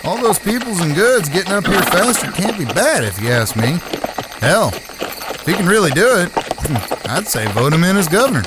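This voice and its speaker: A brash younger-brother gunslinger from a Western audio drama, acted by a man. His folksy voice sits high for a man and is hot-headed in temper. Clear background noise of crickets and night air surrounds him. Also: sing-song, normal speed. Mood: earnest, curious. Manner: folksy, drawling rural speech. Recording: noisy track with cricket-filled outdoor ambience.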